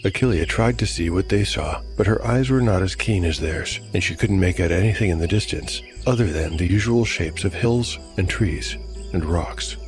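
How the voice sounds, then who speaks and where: A man giving measured, literary scripted narration as storyteller and game master of a fantasy audiodrama. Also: deep voice, faint music behind.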